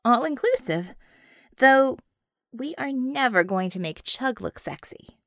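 Woman acting brash, animated female team leader. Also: high-pitched, a little slow, no echo, clean studio sound, voice pushed up, full character voice. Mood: sardonic glee. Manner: emphatic, exclaiming, sardonic. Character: Bella, brash hard-driving female team leader, wry and impatient.

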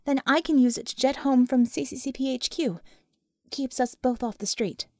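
A woman voicing a brisk, friendly young female technomage in an audiobook, peppering her orders with Russian words. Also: high-pitched, warm tone, no echo, clean studio sound. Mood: friendly, casual.

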